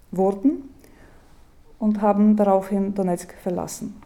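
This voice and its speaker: Measured woman giving a lecture. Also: medium pitch, warm tone. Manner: measured, with hesitations and restarts. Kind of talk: lecture talk reading quotations.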